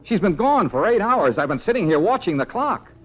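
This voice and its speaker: A man's voice: high voice, big pitch swings, brisk, breathy edge, full character voice. An actor playing an anxious, lovesick, self-pitying man in a 1940s radio drama, agitated and exasperated. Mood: worried. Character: anxious lovesick male private detective, self-pitying and flustered. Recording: dull, noisy old radio recording.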